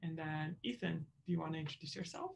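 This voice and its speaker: A woman's voice: sits low, fast, creaky, a little hiss or hum. A woman from Romania co-hosting a webinar in an upbeat, chatty way with fillers. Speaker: webinar co-host. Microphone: video call.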